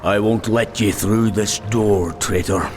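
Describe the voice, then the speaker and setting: A stubborn dwarf gatekeeper, speaking in a low, slow and stern voice. He warns of what lies below. A game score plays underneath. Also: fairly even intonation, over music.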